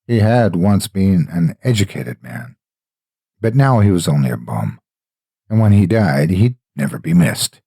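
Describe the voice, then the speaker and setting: Husky, low-voiced man narrating horror. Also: very animated, dark tone, no echo, clean studio sound. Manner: deliberate, dramatic storytelling with measured pauses. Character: a wealthy, cold, calculating gambler narrating his own experiment. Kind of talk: dramatic scripted narration.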